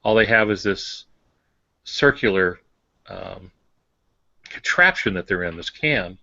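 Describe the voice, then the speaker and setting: A man's voice: low voice, lively intonation, slow pace, creaky, pushed and bright, no room sound, dull audio. An older American man co-hosting a writing podcast, casually explaining with examples and asides. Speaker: podcast co-host on fiction writing. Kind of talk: casual instructive discussion.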